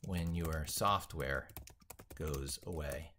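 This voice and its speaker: A man thinking aloud as he drafts an opinionated tech piece, halting, repeating and reworking his phrases with emphatic points. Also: very deep voice, bright, forceful tone, a little hiss or hum. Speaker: tech educator and video creator.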